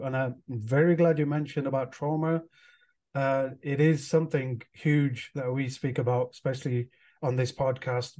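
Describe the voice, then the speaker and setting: A man from England hosts a podcast in a mid-pitched voice. He builds his questions slowly, circling through fillers and restarts before he lands on the point. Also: warm tone. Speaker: podcast host. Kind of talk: casual interview.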